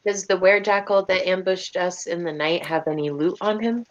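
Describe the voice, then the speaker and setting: A woman's voice: on the low side, fairly even intonation, normal speed, highs cut off. A woman chatting casually as a player in an online tabletop game.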